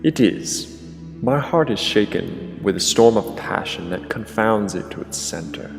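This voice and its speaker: An actor playing a vengeful king tormented by rage in a classical tragedy, declaiming gravely and theatrically. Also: fairly low pitch, animated, unhurried. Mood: dark, tormented. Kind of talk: classical tragedy monologue, declaimed audio drama. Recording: long hall reverb, music underneath. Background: dramatic music.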